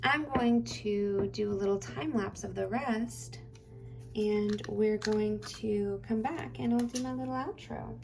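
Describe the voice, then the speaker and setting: A woman's voice: mid-range voice, measured pace, creaky, low-quality recording. A woman hosting a craft channel, chatting casually while she works, rambling and hesitant with many fillers, her voice tired and getting dry.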